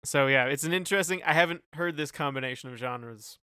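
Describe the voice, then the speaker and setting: Male podcast co-host, crisp and bright, conversational music talk with a searching, hesitant delivery. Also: a little high, quick pace, no echo, studio quality. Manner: hesitant, groping for descriptive words. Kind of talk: casual chat reviewing songs.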